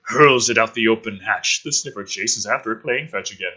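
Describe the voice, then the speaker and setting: A man's voice: lowish voice, a little fast, bright, forceful tone, slightly rough. A man dramatically reading a script aloud as host, with sarcastic, sweary asides thrown in.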